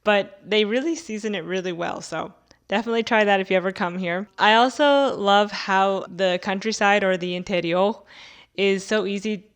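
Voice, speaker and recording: A woman's voice: mid-range voice, moderate pace, smooth. A young American woman talking casually to her viewers in a conversational way, dropping in filler words like "like" and "you know". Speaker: video creator answering viewer questions.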